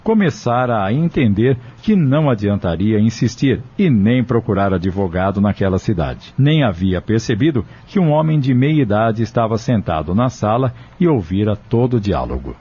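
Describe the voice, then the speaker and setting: A man reads scripted drama narration in a fairly low, husky voice. The tone is dark and mellow, and the recording sounds a little dull. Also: expressive, normal speed, few pauses.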